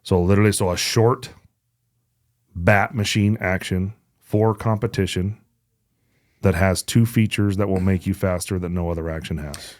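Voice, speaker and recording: Low-pitched man chatting bluntly on a podcast. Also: fairly even intonation, moderate pace, dry recording, studio quality. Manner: profane, blunt, joking. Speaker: podcast host. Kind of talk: casual chat about hunting gear.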